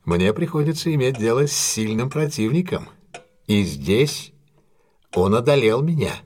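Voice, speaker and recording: An actor in an audio drama playing a shrewd, commanding gentleman detective, husky, courteous and intense, with theatrical deliberate delivery. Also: mid-range voice, very animated. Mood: rueful, intense. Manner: theatrical, authoritative, deliberate questioning.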